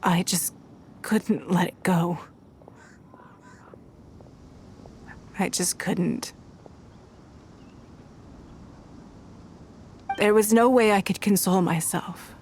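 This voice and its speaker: A woman playing a composed, wealthy noblewoman leader in a video game, speaking in a measured, formal way with private grief underneath. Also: lowish voice, darkish tone, a little hiss or hum. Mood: grief-stricken, subdued. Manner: measured, formal, eloquent, poised. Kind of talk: scripted video game dialogue.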